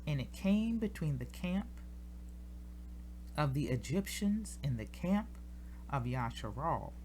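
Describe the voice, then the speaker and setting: A woman reads scripture aloud in a solemn, measured style, pausing deliberately between short phrases. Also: deep voice, very animated, darkish tone, slight background noise. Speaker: scripture reader. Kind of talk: solemn scripture reading from the King James Bible.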